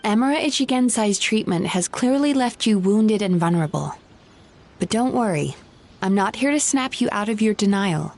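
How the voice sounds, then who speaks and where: A woman voicing a composed female dream therapist in a game, speaking in a measured, probing, gently firm manner. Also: mid-range voice, lively intonation. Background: game music.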